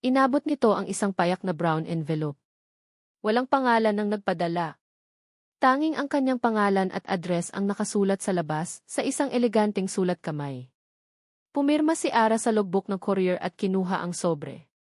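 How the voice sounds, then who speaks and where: A Filipino woman narrates a scripted romantic drama. Her voice sits at a middle pitch, and the recording is dry, with very little room sound. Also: animated, moderate pace, darkish tone, dry recording. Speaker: story narrator.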